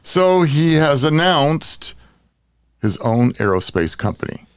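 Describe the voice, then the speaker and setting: A man's voice: a little high, big pitch swings, takes it slowly, clear, resonant tone, dry recording, clean studio sound. A middle-aged or older man from Southern California with an American accent, co-hosting a podcast in casual, opinionated chat. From Southern California, USA. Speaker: podcast co-host.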